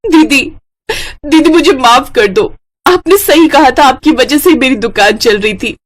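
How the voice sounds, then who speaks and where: Woman voicing an earnest, excitable younger sister. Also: high voice, a little slow, pitched above the performer's own voice. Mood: pleading, apologetic. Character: young sister Pinky, pleading then eager and excitable. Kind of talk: animated children's story dialogue.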